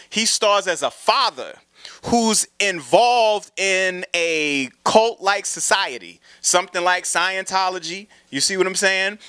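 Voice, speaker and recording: Bright-voiced man lecturing casually. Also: on the high side, clean tone, no echo, studio quality. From family from Saint Croix and Guyana. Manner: preachy, rambling, asks "you know what I'm saying". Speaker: presenter of a talk show segment. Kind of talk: casual lecture on symbolism and film.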